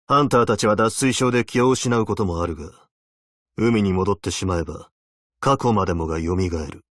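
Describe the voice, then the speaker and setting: A man's voice: fairly low pitch, normal speed, a bit bright, dry recording, studio quality, natural voice. A man voicing a stern, weary veteran abyssal hunter in a video game, speaking in a terse, solemn, commanding way. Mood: brooding, probing. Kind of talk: Japanese video game character voice lines.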